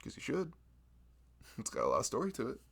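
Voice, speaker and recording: A man's voice: medium pitch, wildly swooping pitch, unhurried, creaky, a bit bright, slightly rough, full character voice. A man voicing a friendly, nerdy young florist in an ASMR roleplay, rambling, laughing often and teasing himself. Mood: chatty.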